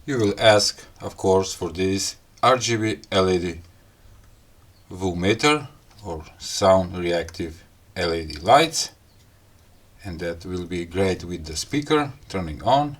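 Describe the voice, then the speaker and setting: A man with a fairly low voice and a non-native English accent talks through a gadget demonstration. His delivery is very slow and casual, broken into short phrases with pauses between them. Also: animated. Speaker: gadget review host. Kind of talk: casual hands-on unboxing and product demo talk.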